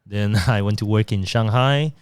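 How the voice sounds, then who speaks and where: Middle-aged male guest, low smooth voice, relaxed and chatty, dry close sound. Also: normal speed, no room sound. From born in Taiwan, raised in Singapore. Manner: relaxed, chatty, self-deprecating. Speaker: podcast guest, digital marketer. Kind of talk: casual interview conversation.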